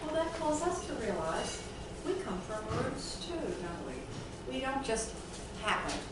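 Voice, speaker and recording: A woman hosts a small gathering with a slow, very animated delivery. She speaks off the cuff and often checks in with little tag questions. The room rings heavily and is full of noise. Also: on the high side. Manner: asks tag questions, prompting the group. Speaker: host introducing an author. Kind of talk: unscripted spoken introduction to a small group. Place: indoor event room at an arts center. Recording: very echoey, noisy room, distant microphone.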